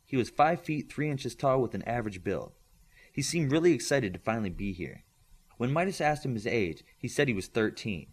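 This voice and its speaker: A man's voice: medium pitch, expressive, brisk, husky. A man narrating a scripted fiction audiobook, reading a story aloud.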